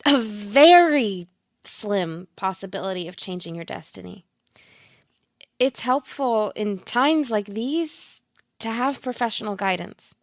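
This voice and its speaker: A woman's voice: mid-range voice, lively intonation, slow, no echo, studio quality. A woman playing a blunt, slyly coaxing tarot reader in an audio play, with a theatrical manner and pet names for her client. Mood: persuasive. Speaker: actor.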